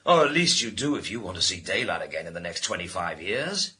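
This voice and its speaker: An actor in a radio crime drama playing a sardonic, bullying police inspector with a sarcastic, theatrical manner. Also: male voice, sits low, big pitch swings, fairly quick, bright, forceful tone, a little hiss or hum, exaggerated voice. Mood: stern, pressing. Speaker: police detective.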